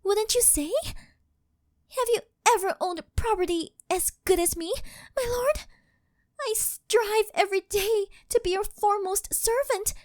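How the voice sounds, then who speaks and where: A woman's voice: extremely high pitch, a little slow, darkish tone, no room sound, clean studio sound. A woman voicing a possessive, worshipful living doll maid in an intimate ASMR roleplay, speaking in a formal, fawning, melodramatic way. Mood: eager, possessive. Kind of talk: scripted ASMR roleplay, intimate monologue to the listener.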